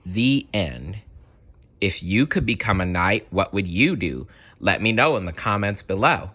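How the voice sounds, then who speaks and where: A man reading a children's storybook aloud in an expressive, dramatic manner. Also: fairly low pitch, a little slow, breathy edge.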